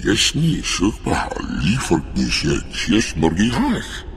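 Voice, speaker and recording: A man voicing a guttural, gravelly alien creature in a video game, grumbling in an invented alien tongue. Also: deep voice, huge pitch swings, slow pace, full character voice. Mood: grumbling, agitated. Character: male alien cave creature, exiled, hungry, speaking alien gibberish. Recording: cavernous reverb, noisy game capture.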